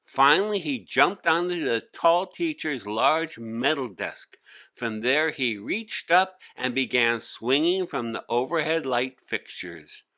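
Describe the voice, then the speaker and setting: A man's voice: mid-range voice, animated, slow, bright, forceful tone, no echo. A man narrating a children's story as a scripted read-aloud. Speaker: author-narrator.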